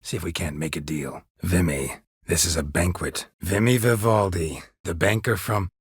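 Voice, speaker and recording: Very husky, deep gruff monster hunter. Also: male voice, normal speed, no echo. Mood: wry. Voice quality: husky, gravelly low voice. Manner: terse, clipped, deadpan, dry. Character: gruff, weary, stoic male monster hunter for hire. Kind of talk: video game character dialogue.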